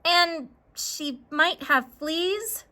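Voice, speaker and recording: A woman's voice: very high voice, very slow, sharp tone, pitched above the performer's own voice, exaggerated voice. A woman voicing an earnest, anxious young farm girl in a children's story, plaintive and hesitant. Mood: glum, hesitant.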